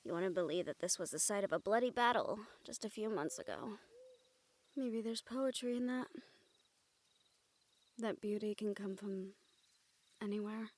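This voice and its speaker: A woman acting an affectionate young fantasy fighter in an intimate audio roleplay, speaking softly with tender, playful warmth and an undertow of grief. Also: mid-range voice, normal speed, long pauses, natural voice. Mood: wistful, fond. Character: affectionate young woman, supernatural fighter, grieving yet teasing.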